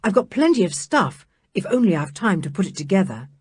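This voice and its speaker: A woman reading aloud, voicing a worried older male scientist in a storybook with a slightly lowered voice. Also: very animated, a little fast, a little mellow, breathy edge, no echo, clean studio sound. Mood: thoughtful.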